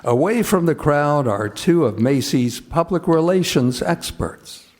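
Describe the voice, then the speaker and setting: Male 1940s-style radio announcer and host, slightly high-pitched, slow and measured. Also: animated.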